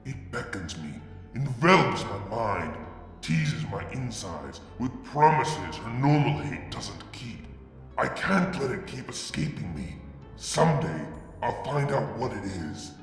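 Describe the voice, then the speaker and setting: A man reading fan fiction aloud, narrating in the first person with brooding, deliberate delivery and sudden drops to a hush. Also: medium pitch, big pitch swings, soft background music. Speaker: fanfiction reader. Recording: reverberant room with long echo.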